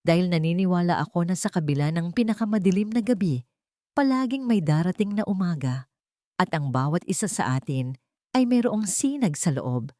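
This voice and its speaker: A woman's voice: fairly low pitch, animated, moderate pace, dark tone, no echo, clean studio sound, lightly acted. A woman audiobook narrator with a Filipino accent voicing a determined, hopeful woman leader, in an earnest, formal, declamatory manner. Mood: earnest, resolute. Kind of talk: audiobook character speech.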